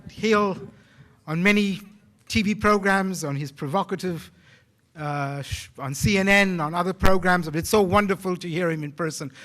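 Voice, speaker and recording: Older South African man, courteous moderator, fairly high voice. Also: a bit bright. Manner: measured, courteous. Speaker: university moderator. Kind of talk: formal remarks at a podium.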